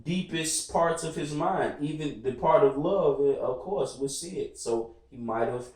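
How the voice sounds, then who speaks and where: A man on a discussion panel, chatting casually and thinking out loud as he goes. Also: a little high, measured pace. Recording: very echoey room, distant from the mic.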